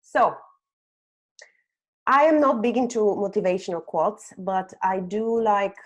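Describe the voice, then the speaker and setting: Woman from Bulgaria, webinar host, 30s, mid-pitched, fillers, computer mic. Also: measured pace. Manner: frequent "um" and "okay?" tags. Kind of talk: presenting a webinar with slides.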